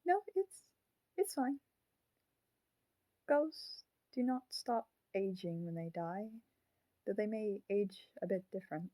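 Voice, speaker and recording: A woman voicing the grown-up ghost of a murdered child in a puppet, speaking haltingly and solemnly in an audio drama. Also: mid-range voice, lively intonation, dark tone, no room sound. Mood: subdued, reflective.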